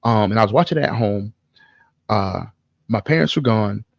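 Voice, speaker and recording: Gravelly male podcaster swapping casual stories. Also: on the low side, normal speed, dry recording, lo-fi sound. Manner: animated, profane, slangy. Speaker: podcast co-host. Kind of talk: casual chat and storytelling.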